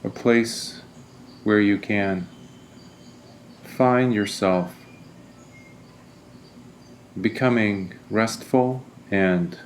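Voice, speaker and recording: A man leading a guided meditation as a teacher, speaking in a gentle, deliberate, soothing manner. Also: lowish voice, a little hiss or hum.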